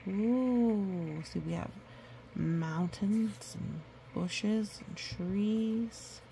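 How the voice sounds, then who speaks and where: A woman reading a picture book aloud to children, gentle and nurturing, with chatty asides. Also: medium pitch, drawn-out words, creaky, a little hiss or hum, poor audio.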